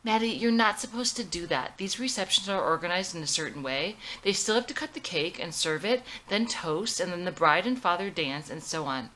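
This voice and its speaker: Woman doing a prim, bright-voiced scolding mother. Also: lowish voice, animated, fairly quick, few pauses, slight background noise, rough recording. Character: prim, controlling middle-aged mother keeping up appearances.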